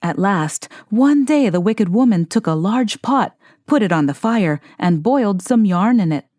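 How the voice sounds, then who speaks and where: A woman reading a fairy tale aloud as an expressive, scripted narration. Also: mid-range voice, measured pace, no echo, studio quality. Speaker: storyteller, audiobook narrator.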